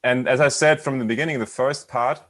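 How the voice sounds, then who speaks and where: A man's voice: mid-range voice, fairly even intonation, fairly quick, fairly bright tone. Guy on a call, mid voice, keeps stalling and repeating himself. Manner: hesitant, repeats words while searching. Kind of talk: casual video call chat.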